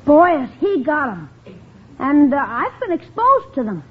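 Eager little schoolboy, super high swoopy voice, wheedling, muffled old radio show. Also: wildly swooping pitch, a little slow, heavily acted. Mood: sly, earnest. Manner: pleading, exclaiming, wheedling. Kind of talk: old-time radio comedy acting. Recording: dull vintage radio recording.

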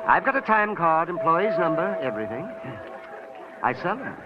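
An actor in an old radio crime drama playing an agitated, defiant store clerk who is an unhinged killer, on a muffled, noisy old recording. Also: male voice, a little high, big pitch swings, moderate pace. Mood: evasive, defensive. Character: cornered, unhinged male department store clerk turned killer.

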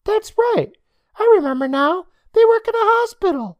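Squeaky, excitable young boy. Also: normal speed, no echo, muffled recording. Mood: gleeful, teasing. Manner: squeaky, mocking, exaggerated delivery.

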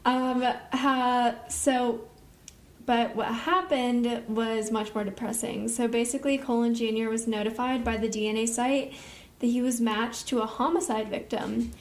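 A woman's voice: a little high, steady tone, unhurried. A young woman co-hosting a podcast, chatting casually with lots of fillers and casual swearing. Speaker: podcast co-host. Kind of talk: casual chat. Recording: echoey room.

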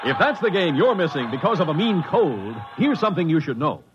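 An American male announcer from old-time radio, pitched on the high side and very animated. He sells with formal polish. The recording sounds dull and dated. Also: brisk, very noisy. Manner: formal, polished sales delivery. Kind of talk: 1950s radio announcing and ad reading.

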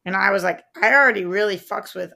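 Gravelly-voiced woman co-hosting a podcast. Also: mid-range voice, fast, sharp tone. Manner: loose, slangy storytelling. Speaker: podcast co-host. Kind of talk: casual podcast chat.

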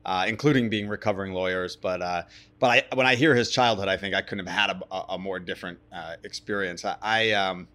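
A man's voice: low-pitched, steady tone, a little fast, pushed and bright. A man speaking as an interview guest, thoughtful and often restarting his sentences as he recalls his career. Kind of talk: casual interview.

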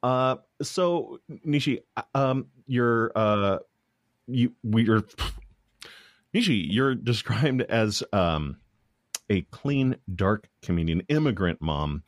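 An American man hosting a casual comedy interview, feeling his way haltingly through rambling questions. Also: medium pitch, lively intonation, no room sound, studio quality. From United States. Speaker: show host, interviewer.

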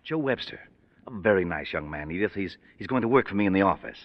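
Middle-aged American schemer and family man, low and expressive, reassuring but evasive, vintage radio drama. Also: quick pace. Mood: smooth, evasive. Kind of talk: old-time radio drama acting. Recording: dull, muffled vintage radio recording.

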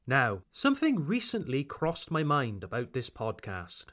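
A man with a Welsh accent hosts a podcast lesson for English learners. He talks slowly and articulates each word with care. His pitch sits in the middle but moves through a lively, animated melody. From Wales. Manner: slow and carefully articulated. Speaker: English teacher and podcast host.

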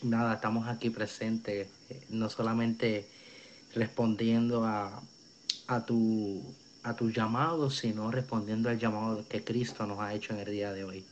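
A man's voice: fairly low pitch, flat delivery, measured pace. An earnest young man with a Puerto Rican accent tells his testimony in a preacherly way. He speaks over a video call that sounds muffled. A faint hiss runs under his voice. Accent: Puerto Rican Spanish. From Puerto Rico. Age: 20s. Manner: earnest, preacherly, many fillers. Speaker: young evangelist guest. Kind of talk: testimony in an interview. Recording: dull video call audio, faint noise.

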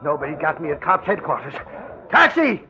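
An actor playing an excitable, blustering newspaper reporter in a 1940s-style radio drama. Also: male voice, on the high side, fairly quick, exaggerated voice. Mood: panicked. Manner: blustering, agitated, exclamatory. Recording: dull, lo-fi old radio recording.

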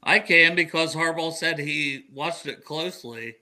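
A man's voice: a little high, a little slow, pushed and bright. A man co-hosting a podcast, joking and teasing the others. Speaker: podcast co-host.

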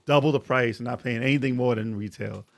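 A man's voice: medium pitch, fast, vocal fry, no echo. Man hosting a chatty podcast, dry sound. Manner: repeats himself for emphasis. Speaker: podcast host reading the news. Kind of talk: casual chat.

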